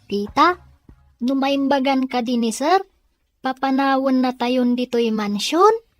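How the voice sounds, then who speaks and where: A woman's voice: sits high, expressive, takes it slowly, soft background music. A woman with a Filipino accent playing an innocent young niece in a radio drama. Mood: curious. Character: young niece questioning her aunt, innocent. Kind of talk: Ilocano radio drama acting.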